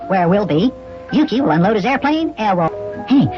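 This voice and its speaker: A man's voice: sits high, sing-song, moderate pace, music in the background. A man voicing an eager, wide-eyed little boy-like character in an old children's radio serial, gleeful and full of folksy exclamations. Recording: noisy, dull old radio recording.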